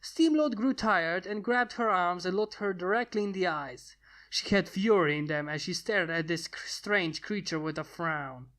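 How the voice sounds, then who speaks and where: A woman's voice: lowish voice, normal speed, fairly bright tone. Woman narrating a fantasy story. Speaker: fan fiction reader.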